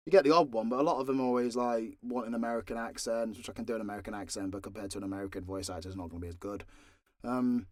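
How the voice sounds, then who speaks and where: A man's voice: on the low side, fairly even intonation, rapid-fire, a little mellow, no echo. Sweary British man, dry close recording. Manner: sweary, blunt, informal. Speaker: voice actor and channel creator answering fan questions. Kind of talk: casual unscripted Q&A chat.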